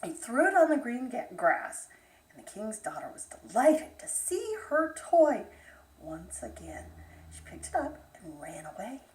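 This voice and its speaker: A woman narrating a bedtime fairytale aloud, expressively, with dramatic pauses. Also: on the high side, big pitch swings. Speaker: storyteller. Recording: roomy echo, distant microphone.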